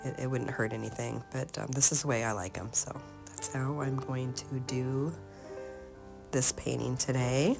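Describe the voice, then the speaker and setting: Casting: woman host for an informal craft tutorial, very deep and a bit hoarse, halting delivery, music underneath. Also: fairly even intonation. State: slightly hoarse from being sick. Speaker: host of an art tutorial channel. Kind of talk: casual painting tutorial narration.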